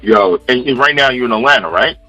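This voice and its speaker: A man's voice: mid-range voice, expressive, a little fast, pushed and bright, faint music behind, low-quality recording. A man from Queens, New York hosting a radio interview, talking casually and often saying "you know.". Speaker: radio host.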